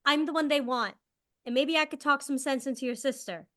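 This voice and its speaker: A woman's voice: high-pitched, fairly quick, sharp tone. A woman voicing an earnest, apologetic, devoted father in a read-through of a musical. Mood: tender regret. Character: pathetic, devoted, guilt-ridden father.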